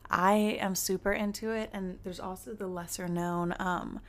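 Raspy-voiced young woman, chatty podcast host. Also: medium pitch, steady tone, moderate pace, fairly bright tone. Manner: chatty, giggly, lots of fillers. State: raspy morning voice. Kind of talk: casual solo podcast chat.

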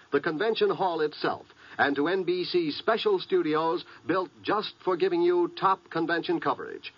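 A man with a husky voice reading a scripted 1950s radio promo as an announcer. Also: a little high. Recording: muffled vintage 1950s radio broadcast.

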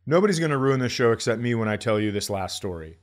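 A man hosting a comedy podcast, telling stories in an animated, grumbling, sweary way and acting out quotes. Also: medium pitch, quick pace. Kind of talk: comic storytelling in casual podcast chat.

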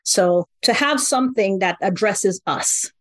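A woman's voice: medium pitch, animated, unhurried. Earnest middle-aged woman founder. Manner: earnest, explanatory, repeats key phrases. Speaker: company co-founder. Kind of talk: podcast interview answers.

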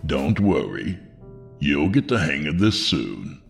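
Horror audio drama: male actor as commanding undead sergeant, extremely low and gravelly, slow, composed menace. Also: big pitch swings, a bit bright, soft background music, exaggerated voice. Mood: weary, composed. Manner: unhurried, authoritative, menacingly composed. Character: calm, commanding male prison sergeant from the afterlife. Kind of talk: horror audio story acting.

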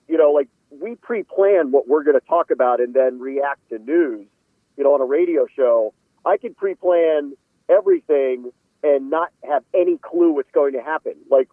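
A man's voice: fairly high pitch, no room sound. A man speaking as a guest sports announcer in a casual radio interview, over a thin phone-like line.

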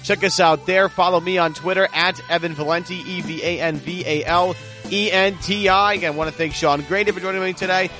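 Podcast host guy, sharp bright voice, bit high, faint music under him. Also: steady tone, fairly quick. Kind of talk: conversational podcast hosting.

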